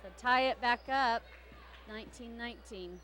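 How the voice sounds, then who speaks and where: Woman, play-by-play for local school basketball, chatty, a touch high-pitched, gets excited on plays. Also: normal speed, noisy background. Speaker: basketball commentator. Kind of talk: live play-by-play commentary. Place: gym during a high school game.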